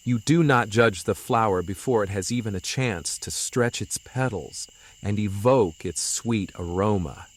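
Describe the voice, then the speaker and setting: A man playing a gentle, wise young mystic who speaks earnestly and philosophically in an audio drama. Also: fairly low pitch. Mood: earnest, reassuring. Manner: slow, earnest, philosophical delivery. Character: gentle, wise young male mystic consoling his despairing friend.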